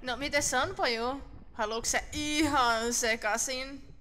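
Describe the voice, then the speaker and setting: A man's voice: very high-pitched, steady tone, sharp tone, a little hiss or hum. A man voicing a mocking, shady teenage troublemaker in a cartoon fan dub, taunting and slangy. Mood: sly, taunting.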